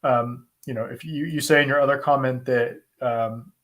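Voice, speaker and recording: A man with an American accent answering viewer questions in an informal, conversational way, thinking aloud with pauses as he explains. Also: mid-range voice, steady tone, fairly bright tone. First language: English. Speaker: host, asylum lawyer answering viewer questions. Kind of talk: informal live Q&A, explaining law.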